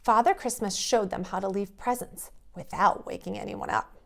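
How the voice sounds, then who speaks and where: A woman reading a storybook aloud to young children in an expressive storytelling manner. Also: medium pitch, moderate pace, creaky.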